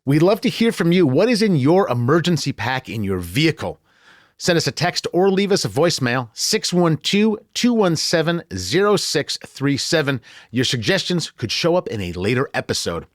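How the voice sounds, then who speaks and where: A man's voice: fairly high pitch, sing-song, normal speed, no room sound, studio quality. An American man hosting a podcast in a chatty, conversational, playfully self-deprecating way. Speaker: podcast host.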